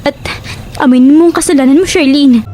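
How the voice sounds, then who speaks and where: Casting: actress as earnest young Filipino best friend, high voice, urgent and imploring, audio horror serial. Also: female voice, fairly quick, over music, natural voice. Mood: grave, urging. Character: worried, earnest young woman, loyal friend urging confession.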